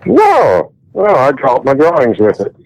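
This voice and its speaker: A man's voice: mid-range voice, huge pitch swings, a little slow, vocal fry, rough recording. A man taking a prank call over the phone, easygoing, laughing along and jabbing back. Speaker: flooring installer taking a call. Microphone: phone line.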